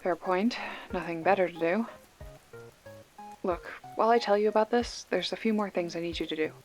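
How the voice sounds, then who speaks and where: A woman's voice: lowish voice, moderate pace, darkish tone, soft background music, natural voice. A woman playing a sarcastic, foul-mouthed young video store clerk with a protective streak, talking casually and wryly in a one-sided phone call for an ASMR roleplay. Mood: wry, bantering. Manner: wry, snarky, casual with swearing.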